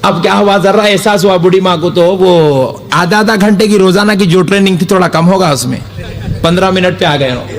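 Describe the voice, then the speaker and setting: A young man preaching a sermon, telling a humorous story in an animated way. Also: high voice, moderate pace. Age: young adult. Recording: live gathering with audience noise. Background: audience reactions.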